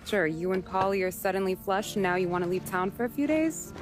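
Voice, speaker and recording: A woman acts as a shrewd working-class wife in a cinematic game. Her mid-pitched voice is dry, sarcastic and probing. Music plays clearly behind her. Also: animated, fairly quick, natural voice. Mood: suspicious. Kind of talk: cinematic video game acting.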